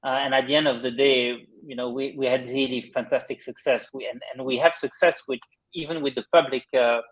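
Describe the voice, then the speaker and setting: A Belgian man whose faint accent barely shows in his otherwise smooth English. His voice sits mid-pitch, and he speaks as a candid, upbeat founder sharing lessons in a relaxed interview. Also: fairly quick, rough recording. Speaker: startup founder guest. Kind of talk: casual interview chat.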